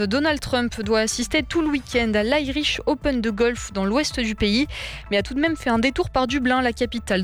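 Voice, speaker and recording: A woman anchoring a radio news bulletin, reading in a measured, crisp way. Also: fairly high pitch.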